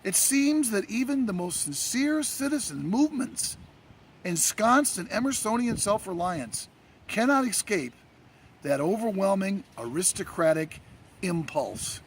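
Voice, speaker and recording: A man with a gravelly voice reading a scripted monologue as theatrical courtroom oratory, declamatory and emphatic, with dramatic pauses. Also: sits high, expressive, slight background noise. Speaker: writer reading own essay.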